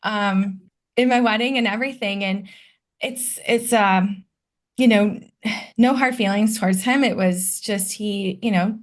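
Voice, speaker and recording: A woman's voice: medium pitch, measured pace. A woman in her late thirties from Detroit, a podcast guest who candidly reflects on her past in a conversational way. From Detroit, United States. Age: 30s. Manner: candid and reflective, personal storytelling. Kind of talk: conversational interview.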